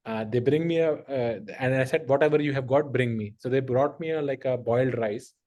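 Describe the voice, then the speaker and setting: A man's voice: medium pitch, brisk, a little mellow. Middle-aged male podcast host, rambling anecdotal delivery. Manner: rambling, heavy filler use, frequent laughter. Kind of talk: casual conversational storytelling.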